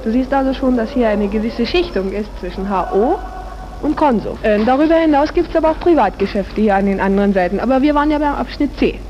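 A woman's voice: fairly high pitch, quick pace, dull audio, a little hiss or hum. A woman giving a chatty tour in a 1950s radio street reportage, on an old archive recording.

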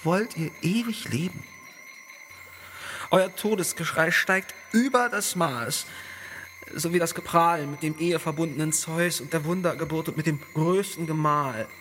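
An actor playing a whiny, sneering tyrant in a radio play, with a nagging tone and theatrically stretched words. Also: male voice, fairly high pitch, a bit bright. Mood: sneering, haughty. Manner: theatrical, over-stressed final syllables, drawn-out words. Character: Lykos, whiny, sneering, taunting male tyrant. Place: home, online video call. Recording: noisy home recording.

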